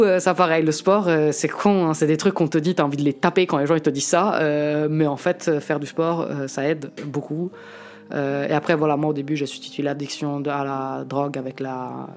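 A man in his late twenties from Italy, talking frankly and reflectively in an interview and often pausing to find his words. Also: fairly high pitch, darkish tone. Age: late 20s. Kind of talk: candid personal testimony.

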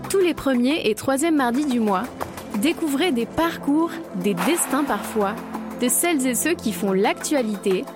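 Female announcer over clear music. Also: sits high, expressive, a little slow. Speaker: podcast announcer. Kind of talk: scripted intro narration.

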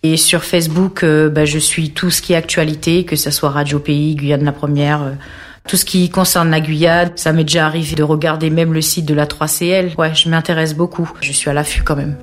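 A woman in her thirties who grew up in French Guiana, speaking hesitantly about her life with frequent pauses and fillers. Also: sits low, level tone. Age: 30s. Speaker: interviewee. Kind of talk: reflective personal testimony.

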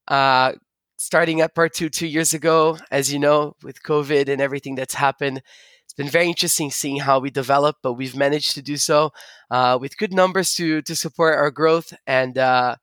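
A man's voice: a little high, steady tone, normal speed, fairly bright tone, dry recording. A young man from Brazil who also grew up in the United States, explaining his sales work as an interview guest. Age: young adult.

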